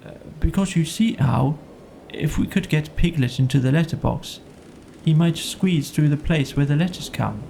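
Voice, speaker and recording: A man voicing a gentle, friendly, slow-witted toy bear from a children's storybook, in a mild, musing, hesitant way. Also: fairly high pitch, vocal fry, slight background noise.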